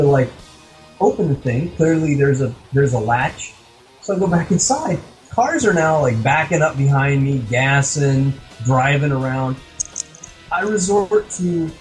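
A man's voice: mid-range voice, darkish tone, rough recording. A man co-hosting a podcast, telling casual comic anecdotes in a wry, exasperated, mildly profane way. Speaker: podcast co-host.

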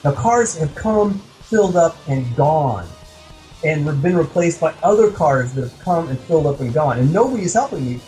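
High-pitched man, wry exasperated podcast storyteller. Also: lively intonation, normal speed, warm tone, faint music behind, poor audio. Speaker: podcast co-host. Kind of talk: casual comic anecdote and banter.

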